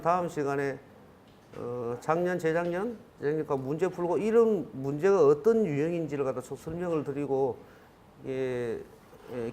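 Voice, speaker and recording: A man's voice: a little high, darkish tone. Rambling middle-aged male lecturer with dialect. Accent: Korean regional dialect. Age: 50s. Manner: rambling, self-correcting, many fillers. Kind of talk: classroom lecture. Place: classroom.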